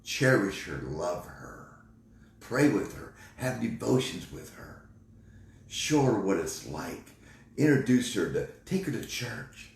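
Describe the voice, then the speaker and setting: 低く抑揚のある男性の説教調の声. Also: male voice, on the low side, very animated, slow pace, vocal fry. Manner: terse, exhortative asides. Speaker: ministry co-host. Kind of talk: short preaching interjections. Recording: echoey room.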